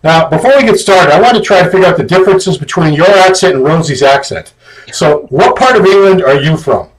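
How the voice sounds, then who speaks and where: A man hosting a casual podcast, chatting in a relaxed, joking way. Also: a little high, a little fast, low-quality recording. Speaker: host.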